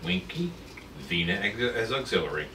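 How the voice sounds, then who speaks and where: A man's voice: on the low side, sing-song, moderate pace. A man reading the part of a firm but fair government secretary in a retro space adventure table read. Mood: decisive. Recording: echoey room, distant mic, clear noise.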